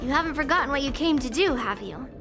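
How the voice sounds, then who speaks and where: Teasing swordmaster mentor. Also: female voice, high-pitched, expressive, quick pace. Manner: teasing, coaxing and self-assured. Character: confident teasing swordmaster mentor, young woman. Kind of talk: game cutscene voice acting. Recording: game audio with music behind.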